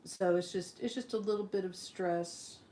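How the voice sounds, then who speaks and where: A woman's voice: medium pitch, steady tone, moderate pace, dark tone, slight background noise. A woman chatting casually on a live stream as she sets up, rambling with frequent ums, a little sniffly from allergies.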